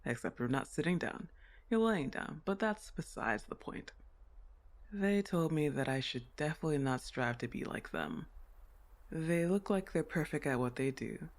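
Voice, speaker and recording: A woman's voice: low-pitched, animated, moderate pace. A woman playing an awkward, well-meaning sleep paralysis demon in an ASMR roleplay, talking in a hesitant, flustered and reassuring conversational way. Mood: reassuring, earnest.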